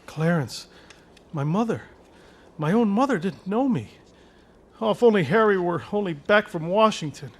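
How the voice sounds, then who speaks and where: A man's voice: high-pitched, animated, moderate pace, long pauses. An actor playing a frantic bewildered man. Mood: grieving. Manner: frantic, stammering. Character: desperate frantic grown man, family missing. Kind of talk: radio play acting.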